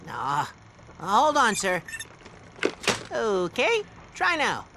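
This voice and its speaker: Fawning robot sidekick, high and springy, loud score behind. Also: male voice, extremely slow, gravelly, heavy background noise, full character voice. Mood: casual. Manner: gushing, excitable, deferential. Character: eager robot male assistant, fawning and loyal. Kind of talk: video game cutscene acting.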